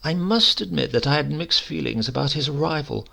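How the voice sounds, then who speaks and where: A man reading a humorous short story aloud as dramatic narration, in a dry, measured, wry manner. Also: a little high, lively intonation, creaky, pushed and bright. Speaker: story reader.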